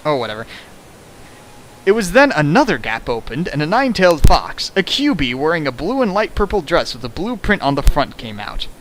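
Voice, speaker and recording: Male co-reader, fairly high, very animated pitch, hammy and sarcastic reading with asides, faint hiss behind. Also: a little fast, poor audio. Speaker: co-host reading fan fiction aloud. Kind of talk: mocking dramatic reading with asides. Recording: faint hiss, home recording.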